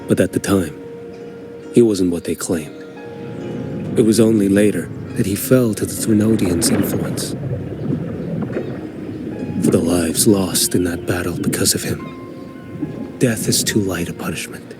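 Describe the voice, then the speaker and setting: An actor voicing a calm, grave swordsman wanderer in a video game, speaking in a measured, solemn way. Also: deep voice. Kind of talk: game voice acting. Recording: game audio with music underneath.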